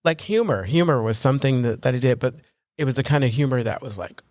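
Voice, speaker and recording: A man co-hosting a recovery podcast in casual chat, talking in an animated, rambling way full of restarts and self-deprecating humor. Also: mid-range voice, fast talker, no echo. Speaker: podcast co-host.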